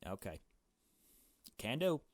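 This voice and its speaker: A man's voice: medium pitch, big pitch swings, takes it slowly, no echo. A man playing an anxious young woman held captive in a visual novel, speaking uncertainly and hesitantly. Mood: doubtful.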